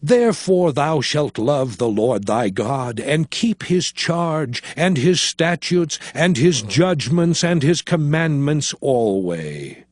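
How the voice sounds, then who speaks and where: A man playing a solemn prophet who exhorts his people in a measured, declamatory delivery for a dramatized scripture recording. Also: fairly high pitch, very animated, dry recording, clean studio sound, exaggerated voice.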